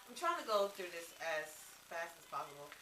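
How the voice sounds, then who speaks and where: A woman with a bright, crisp-edged voice talks through her makeup brushes casually, rambling with ums and restarts. She sounds a little congested from a cold. She is recorded by a camera mic some way off, in a room with a lot of echo and a faint hum of background noise. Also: fairly low pitch, big pitch swings, moderate pace, recorded from a distance. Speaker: beauty vlogger. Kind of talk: casual product review.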